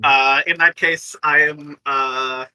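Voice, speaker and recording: Bright, sharp-voiced man thinking aloud at a game table. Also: fairly high pitch, fairly even intonation, slow, low-quality recording. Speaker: player in a tabletop roleplaying game. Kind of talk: casual game-table talk.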